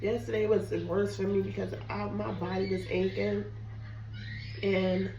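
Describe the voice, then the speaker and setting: Hoarse sick woman chatting in a kitchen. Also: medium pitch, little pitch movement, measured pace, heavy background noise. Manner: chatty, apologetic, addresses viewers as "guys". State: sick, losing her voice, hoarse. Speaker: cooking vlogger. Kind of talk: casual chat to camera. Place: home kitchen. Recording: roomy echo, mic at a distance.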